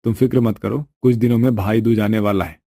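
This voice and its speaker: Man playing gentle, mellow-voiced young husband. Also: fairly low pitch, steady tone, brisk, no echo, clean studio sound. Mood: caring. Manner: caring, soothing.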